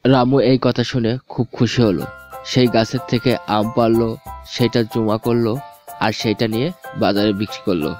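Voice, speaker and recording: A man's voice: on the low side, a little mellow. A man telling a scripted children's fairy tale as the storyteller. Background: music.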